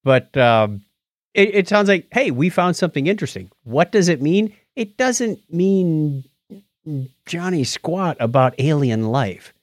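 A man's voice: on the high side, sing-song, a little slow, dry recording. Casual man co-hosting a chatty podcast, close dry sound. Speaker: podcast co-host. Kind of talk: casual chat.

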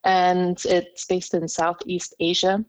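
Woman guest whose first language is Indonesian, lowish voice, thoughtful storyteller, dry recording. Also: monotone, slow, a little mellow, no room sound. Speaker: interview guest, communication skills trainer. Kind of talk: podcast interview storytelling.

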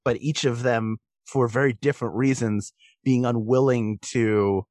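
A man with a mid-pitched voice talks as a podcast guest in a dry, close recording. He speaks casually and analytically, earnest and emphatic as he makes his case. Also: fairly even intonation, unhurried, a little mellow, dry recording.

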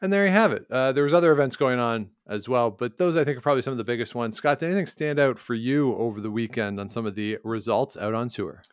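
A Canadian man hosts a relaxed sports talk show. His voice is smooth and clear at an ordinary male pitch, recorded dry with no room sound. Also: fast, hardly any pauses, dry recording. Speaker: podcast co-host. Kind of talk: casual sports talk with results recaps.

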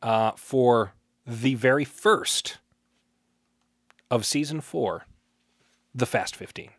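A man co-hosting a podcast, dropping deadpan remarks into casual banter. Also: medium pitch, slow. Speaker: podcast co-host.

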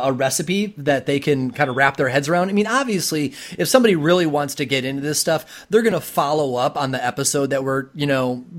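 A man hosting a conversational interview, talking in a wordy way with stammered restarts. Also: on the high side. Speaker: podcast host.

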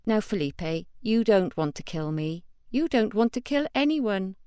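A woman's voice: medium pitch, big pitch swings, measured pace, mellow, clean tone, dry recording, studio quality. A woman voicing a menacing middle-aged female assassin, clipped and threatening. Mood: coaxing threat.

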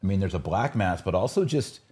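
A man with a low voice and a highly varied, animated intonation talks as a podcast host in a casual religious discussion. He is opinionated and emphatic, explaining his points and often putting them as rhetorical questions. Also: fairly quick.

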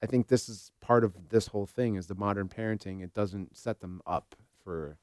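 Smooth low-voiced American man, casual podcast talk. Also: moderate pace, darkish tone, no echo, studio quality. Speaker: podcast co-host and therapist.

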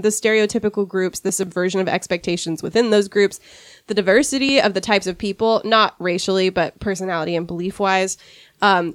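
A woman's voice: medium pitch, no room sound, clean studio sound. A woman from rural Washington hosting a casual podcast discussion, sniffly from a cold and chatty, with wry asides. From rural Washington, USA. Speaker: podcast host.

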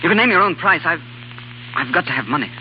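Give the weary sculptor played by a man a quick but halting delivery, desperate yet proud. It is 1930s radio drama, with clear hiss and a dull, narrow sound. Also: a little high, lively intonation, lightly acted. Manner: hesitant, halting, repeating words.

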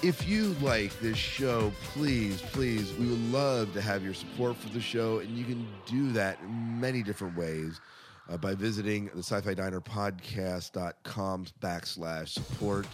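A man's voice: on the low side, unhurried. A man hosting a podcast in casual chat, rambling a little and poking fun at himself. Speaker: podcast host. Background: music under the voice.

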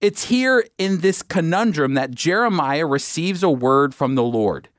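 A man gives a Bible teaching for radio in a fairly high voice. He talks emphatically, pausing for rhetorical questions. The sound is dry and close, with no room echo. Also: expressive.

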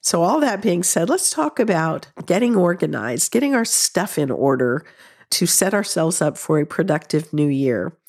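A woman hosts her own podcast in an easy, earnest, conversational manner. She is congested from a head cold, and her throat sounds raw and slightly hoarse. Her voice sits on the lower side. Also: expressive, moderate pace, darkish tone. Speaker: podcast host. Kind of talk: solo podcast talk with ad read.

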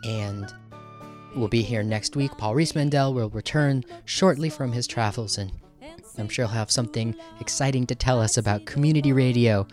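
Male podcast host, halting, music behind. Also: medium pitch, darkish tone. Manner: conversational, halting, rambling asides. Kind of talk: casual unscripted podcast sign-off to listeners.

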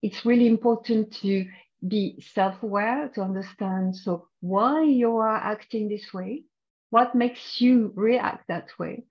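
A woman's voice: mid-range voice, slow pace. French-accented woman coach, muffled audio. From France. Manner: thoughtful, explanatory, with frequent restarts. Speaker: executive coach, guest. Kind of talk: podcast interview.

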